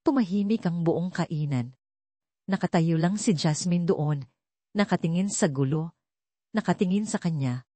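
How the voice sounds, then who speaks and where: Woman, audio story narrator, slightly low pitch, scripted dramatic reading, very dry sound. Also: measured pace, pauses often, mellow, dry recording.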